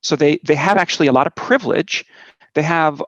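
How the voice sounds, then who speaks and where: A man's voice: fairly high pitch, fairly quick, a bit bright, highs cut off, slight background noise. A man in his forties, raised in Switzerland, telling his personal story in a conversational talk, with many "um"s and a tag of "right?". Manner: conversational, frequent "right?" and "um" fillers. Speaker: guest speaker.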